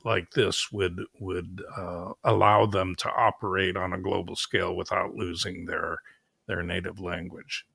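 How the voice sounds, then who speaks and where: A man hosting a podcast, asking questions in a halting way with many fillers as he searches for words. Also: deep voice, little pitch movement, gravelly, pushed and bright, slightly rough, no room sound, studio quality. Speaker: podcast host. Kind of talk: interview questions.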